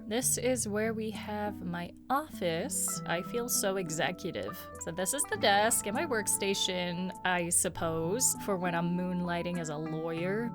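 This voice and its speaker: A woman giving a casual, chatty narrated tour of her video game island, with playful jokes and asides. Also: mid-range voice. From Swedish heritage.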